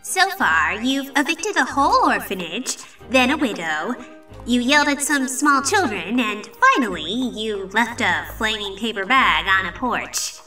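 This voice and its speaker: A woman's voice: fairly high pitch, sing-song, a little slow, sharp tone, far from the mic, faint music behind, exaggerated voice, rough recording. A woman voicing a boastful, flustered ghostly pony magician in a cartoon comic dub, stammering and exclaiming theatrically. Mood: wry, hesitant. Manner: theatrical, stammering, exclaiming, refers to self in third person.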